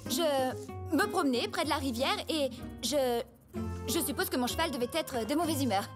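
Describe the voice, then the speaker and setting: High-voiced spirited young cartoon heroine, acted by a woman. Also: normal speed, close to an everyday voice. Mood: sheepish, evasive. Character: spirited young woman, secretly a masked adventurer, evasive. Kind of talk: French cartoon dub acting. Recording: music bed under dialogue.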